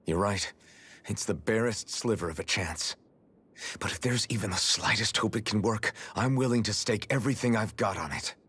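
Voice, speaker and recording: A man's voice: fairly low pitch, normal speed, fairly bright tone, husky. A man playing an earnest, resolute young hero in a fantasy video game cutscene. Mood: determined, hopeful.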